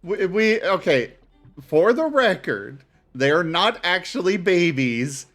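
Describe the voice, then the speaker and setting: A man's voice: high voice, sing-song, takes it slowly, a bit bright, faint music behind. A man playing in a tabletop game, chatting casually and explaining himself in a hesitant, self-conscious way. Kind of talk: casual chat.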